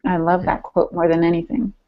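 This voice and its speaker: A woman's voice: lowish voice, monotone, fairly quick. A woman co-hosting a casual podcast discussion about writing, on a dull lo-fi recording. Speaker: podcast co-host.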